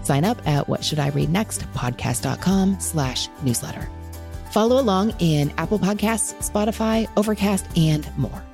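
A woman's voice: fairly low pitch, lively intonation, moderate pace, gravelly, darkish tone, faint music behind. A woman hosting a book podcast, chatting with guests and addressing her listeners. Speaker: podcast host. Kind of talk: warm book-podcast hosting, interview and sign-off.

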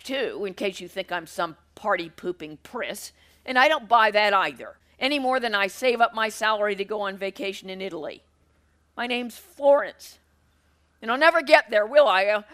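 A woman voicing Florence, an exasperated, worn-out middle-aged woman who rants and shouts about money and sacrifice. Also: on the high side.

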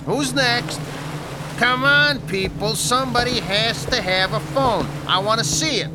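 A man's voice: very high voice, unhurried. A man acting in an audio drama as an impatient boss giving orders to his staff. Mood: impatient, commanding. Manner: commanding, impatient. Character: bossy male catering boss barking orders at staff. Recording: noisy boat, raised voice.

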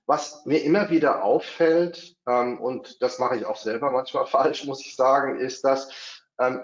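A bilingual man giving a webinar talk, hesitant, with many fillers and restarts. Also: medium pitch. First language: bilingual, not only German. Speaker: webinar speaker on developer marketing. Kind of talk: webinar presentation. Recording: roomy, dull lo-fi webinar audio.

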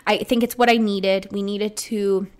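A woman's voice: medium pitch, fairly even intonation, a little slow, darkish tone. A young woman hosting a solo podcast, talking casually in an upbeat, rambling way with a slightly congested voice. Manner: chatty and upbeat, rambling with restarts. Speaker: podcast host.

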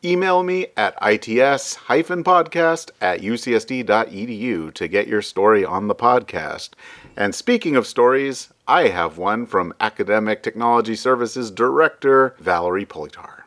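A man's voice: on the low side, lively intonation, normal speed, fairly bright tone. A man hosting a podcast, reading upbeat scripted announcements in an emphatic, chatty announcer delivery. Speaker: podcast host.

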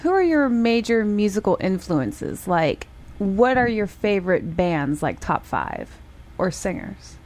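A woman's voice: mid-range voice, sing-song, unhurried, hardly any pauses, a little mellow. A woman co-hosting a radio show, putting hesitant, bubbly questions to a guest. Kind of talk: casual radio interview. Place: radio studio.

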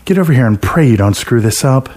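Guy doing a nervous young woman in a story, bossy girlfriend, scolding, pitched a bit higher. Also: lively intonation, fast, a little mellow, natural voice. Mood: stern, worried.

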